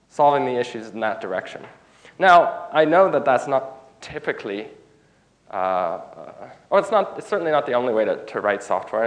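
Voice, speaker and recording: Male conference speaker with a cold, stuffy and informal, middle pitch, addressing a room. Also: low-quality recording. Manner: informal, restarts phrases, engages audience. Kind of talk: technical conference talk.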